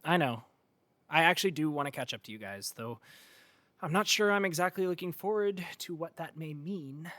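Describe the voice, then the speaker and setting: A man's voice: on the high side, animated, moderate pace, bright, forceful tone, no room sound. A man playing a hesitant, dry-humored young newcomer in a naturalistic audio drama, speaking with understated casualness. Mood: dry, wry. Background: faint music.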